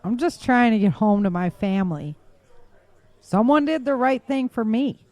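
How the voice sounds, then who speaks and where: A woman's voice: on the high side, moderate pace, a little mellow, dry recording, lightly acted. An actress playing a weary woman survivor who speaks earnestly.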